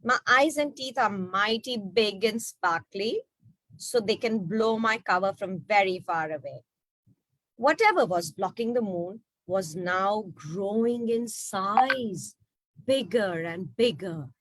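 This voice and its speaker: A woman telling a story to children in an expressive, questioning way. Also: medium pitch, slow pace, rough recording. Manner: expressive, asks rhetorical questions. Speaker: storyteller at an online children's book reading.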